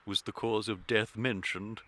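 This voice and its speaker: A man's voice: fairly low pitch, fairly even intonation, a bit bright, slight background noise. An actor playing a fussy, kindly middle-aged English clergyman in a radio comedy, pompous and unctuous in manner. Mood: solemn sympathy. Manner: unctuous, deliberate, sermonising. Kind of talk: period stage comedy radio acting.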